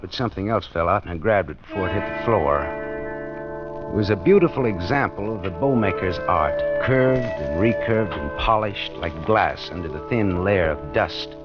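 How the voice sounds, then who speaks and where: Wisecracking private eye, low-pitched, American, dramatic noir delivery, muffled vintage radio drama. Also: male voice. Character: wry, hard-boiled male private detective, narrating his own case. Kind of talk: 1940s radio detective drama acting, noir narration. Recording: dull old radio recording with music.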